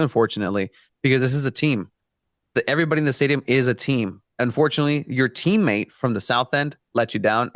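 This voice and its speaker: A man's voice: mid-range voice, a bit bright, dry recording. Earnest Mexican-born man, dry close mic. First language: Spanish. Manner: earnest, frequent restarts and fillers. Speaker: podcast guest. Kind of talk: casual podcast discussion.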